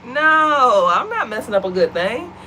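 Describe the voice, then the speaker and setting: A woman's voice: fairly high pitch, very animated, unhurried, sharp tone, slight background noise, rough recording. An American woman co-hosting a home vlog, chatting animatedly and addressing the viewers as y'all. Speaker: vlog co-host. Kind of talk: casual chat to viewers. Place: at home, seated.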